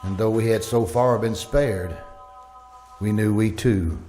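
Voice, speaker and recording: A man's voice: lowish voice, a little slow, warm tone, soft background music, lightly acted. A man acting a grave, hesitant survivor who narrates the end of the world in an old-time radio thriller. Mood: somber.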